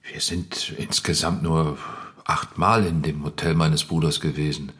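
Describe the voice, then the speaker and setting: A man's voice: extremely low pitch, little pitch movement, unhurried, fairly bright tone. An actor playing an evasive married man in an affair, giving terse, hesitant answers in a German radio drama. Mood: hesitant, subdued.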